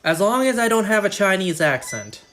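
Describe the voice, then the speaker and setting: A man's voice: high-pitched, sing-song, normal speed, fairly bright tone. A man chatting casually on a game live stream, rambling and joking at his own expense. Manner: rambling, self-deprecating, joking.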